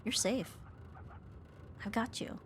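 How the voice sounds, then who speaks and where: A woman's voice: slow pace, fairly bright tone, slight background noise. A woman playing a young tomboy roommate, affectionate but flustered, in an intimate ASMR romance roleplay with a hesitant, teasing delivery. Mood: soft, affectionate. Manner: hesitant, teasing, breathy sighs and nervous laughs.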